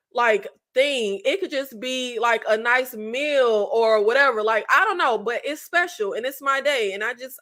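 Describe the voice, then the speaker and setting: A woman in her late thirties chatting casually with her viewers as a channel host, animated and slangy, often laughing. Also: high-pitched. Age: 30s.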